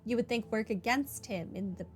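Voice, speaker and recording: A woman's voice: a little high, normal speed, faint music behind. A woman hosting a video game playthrough, thinking aloud in casual, conversational commentary. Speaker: gaming video host.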